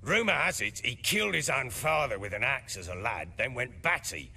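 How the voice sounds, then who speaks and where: An actor playing a burly, middle-aged, hard-drinking baron in a fantasy video game, with a rough voice and a blustering, defensive manner. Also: male voice, mid-range voice, lively intonation, moderate pace, pushed and bright, exaggerated voice. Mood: gruff, wary. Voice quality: rough, husky.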